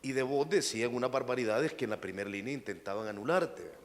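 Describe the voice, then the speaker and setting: A man's voice: mid-range voice, quick pace. A man from El Salvador, earnestly asking the panel a question. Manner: earnest, uses vos. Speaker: audience questioner. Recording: reverberant hall with faint noise.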